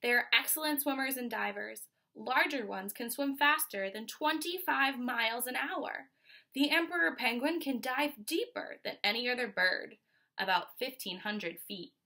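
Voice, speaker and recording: Bright, high-voiced young American woman reading aloud. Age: 20s. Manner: instructive, pausing to explain and question. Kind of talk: children's picture book read-aloud with explanatory asides. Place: small furnished room at home, indoors.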